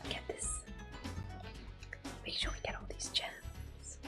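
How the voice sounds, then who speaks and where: A woman hosts ASMR gameplay in a gentle, hushed voice that often drops to a whisper. Her commentary is unhurried, with faint music behind it. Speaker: ASMR gaming host. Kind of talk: soft-spoken, whispered ASMR game commentary.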